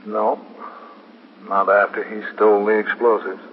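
An American actor playing a firm, sober middle-aged police captain in a 1950s radio drama. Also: male voice, lowish voice, level tone, unhurried, natural voice. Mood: grave, subdued. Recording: reverberant, dull, hissy 1950s radio recording.

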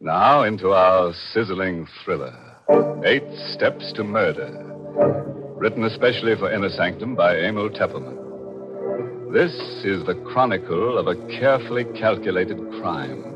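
A very deep-voiced man hosts an old radio mystery with ominous, theatrical deliberation. Music plays clearly behind him, and the vintage broadcast sounds muffled. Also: lively intonation, normal speed. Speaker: host and announcer. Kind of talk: 1940s radio mystery introduction, scripted.

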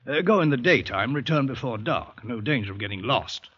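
A man's voice: mid-range voice, lively intonation, brisk, breathy edge. A man playing a jovial, bluff, fatherly hospital doctor, hearty and teasing, in 1940s radio drama style. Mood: brisk, encouraging. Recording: dull old radio drama recording.

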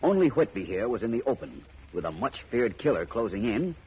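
Smooth shrewd detective from old radio show, real husky lowish voice, wry, muffled sound. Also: male voice, very animated, full character voice. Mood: quietly triumphant. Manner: suave, deliberate, wry. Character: shrewd, self-assured private detective explaining his case. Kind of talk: 1950s radio detective drama acting. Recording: muffled vintage radio recording.

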